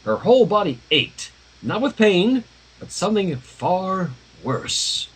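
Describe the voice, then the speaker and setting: Man, high-pitched husky voice, animated story narration, dramatic delivery, livestream readaloud. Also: slow pace, rough recording. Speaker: fan fiction reader.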